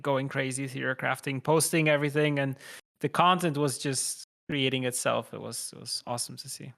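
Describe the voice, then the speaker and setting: A man's voice: medium pitch, fairly bright tone. A man organizing the event, rambling loosely with many fillers in a casual panel chat.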